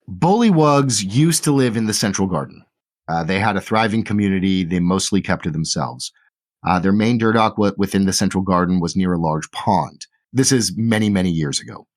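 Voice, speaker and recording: Male game master narrating over music. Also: fairly low pitch.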